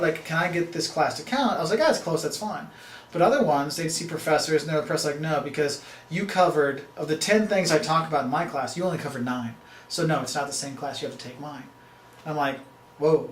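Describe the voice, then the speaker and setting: Casual rambling male professor and travel host, fairly high voice, echoey room. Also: a little hiss or hum. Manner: rambling, chatty, full of fillers and restarts. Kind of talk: casual talk to camera.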